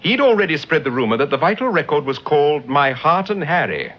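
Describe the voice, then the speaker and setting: An actor playing a suave, articulate amateur detective in a mid-century British radio drama, with a Received Pronunciation accent. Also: male voice, on the high side, very animated. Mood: assured, explaining. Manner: urbane, articulate, measured explaining. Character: suave, assured male amateur detective, crime novelist. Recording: muffled old radio recording, background noise.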